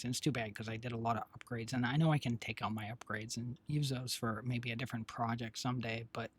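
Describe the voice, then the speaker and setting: A hoarse man with a raspy voice chatting casually on his live stream, rambling with many fillers and restarts. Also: mid-range voice. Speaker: streamer and developer.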